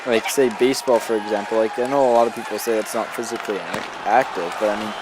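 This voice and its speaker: A man with a slightly hoarse voice from a cold, giving rambling, casual gameplay commentary full of ums and "you know" as the host of a gaming video. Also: fairly low pitch, quick pace, a crowd in the background. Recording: steady background noise under the voice.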